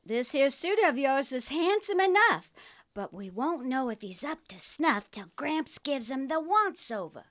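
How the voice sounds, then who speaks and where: A woman's voice: high-pitched, very animated, unhurried, fairly bright tone, no room sound, heavily acted. A woman playing a coy, man-hungry undead Southern cousin in a comic radio play. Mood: sly. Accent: American South. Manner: coy, flirtatious. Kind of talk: comic radio theater acting.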